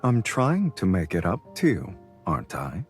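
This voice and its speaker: Man acting a very deep, suave, teasing lover. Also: huge pitch swings, moderate pace, faint music behind. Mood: teasing, amused. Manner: low-key, teasing, intimate. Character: suave, teasing, devoted adult male lover. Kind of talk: audio drama romantic acting.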